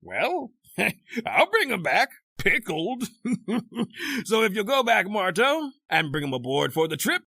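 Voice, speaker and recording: A greedy, jovially cruel sea captain in a radio horror play. His high, swooping voice is gleeful and condescending, with a gravelly edge. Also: very animated, slow pace, pushed and bright, no echo, exaggerated voice. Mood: callous glee. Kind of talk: old-time radio horror acting.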